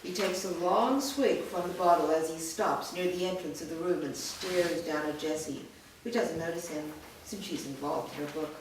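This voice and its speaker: A woman's voice: on the low side, moderate pace, a little hiss or hum. A woman steadily reading a screenplay's scene directions aloud in a matter-of-fact way. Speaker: reader of a screenplay. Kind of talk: staged reading of stage directions. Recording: echoey room from far off.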